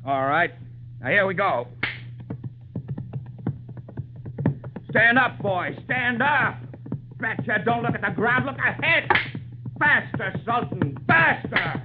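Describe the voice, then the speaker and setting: Harsh high-pitched circus taskmaster. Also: male voice, animated, unhurried. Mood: stern, commanding, then angry, scornful. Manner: barking orders, scolding. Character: harsh, bullying male circus riding master. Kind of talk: 1940s-50s radio acting. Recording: tinny old radio recording with music.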